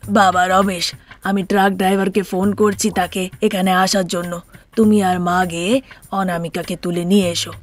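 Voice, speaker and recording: Cartoon father-in-law, older, calm, monotone; woman voicing. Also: on the low side, moderate pace, close to an everyday voice.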